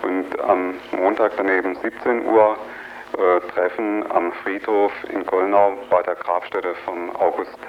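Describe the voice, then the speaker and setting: A man speaking as an activist guest in a radio interview, announcing an event haltingly, with frequent hesitations. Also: low voice, flat delivery. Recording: dull lo-fi radio recording, faint noise.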